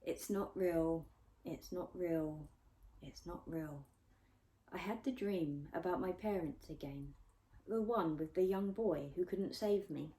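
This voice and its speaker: A woman author reading her own book aloud as expressive audiobook narration. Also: fairly low pitch, slow pace.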